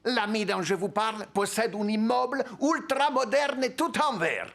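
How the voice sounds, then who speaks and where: A man voicing an excitable, jolly male chef in a cartoon dub, who keeps bursting into high giggles. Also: moderate pace, gravelly. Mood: cheerful. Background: music.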